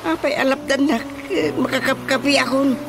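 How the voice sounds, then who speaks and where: A woman's voice: high voice, brisk, noisy background, natural voice. A Filipino actress playing a woman character in a comedy radio drama. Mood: conversational. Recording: music behind the dialogue. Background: music.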